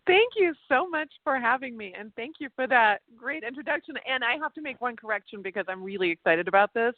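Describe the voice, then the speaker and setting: A German-born woman whose first language is German, speaking English without an accent as an interview guest, telling animated stories and restarting her sentences often. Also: a little high, a little fast, no echo. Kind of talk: casual interview chat. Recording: tinny phone-like line.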